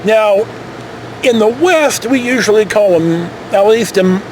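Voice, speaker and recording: Male American vlog storyteller, lively intonation, high register, folksy and digressive. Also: measured pace, slight background noise, poor audio. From California. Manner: folksy, mildly profane, digressive. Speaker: storytelling vlogger. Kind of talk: casual rambling anecdote.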